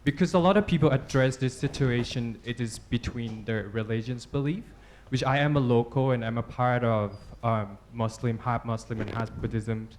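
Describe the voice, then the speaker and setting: Thai-accented man, halting audience question, full of fillers, mid pitch. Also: a little hiss or hum. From southern Thailand. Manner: hesitant, halting, many fillers and apologies. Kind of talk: press club Q&A question. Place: press club panel room.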